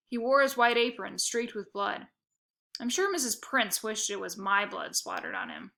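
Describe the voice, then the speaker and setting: A woman reading a children's novel aloud in the first person, expressive and playful. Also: mid-range voice, gravelly. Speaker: narrator.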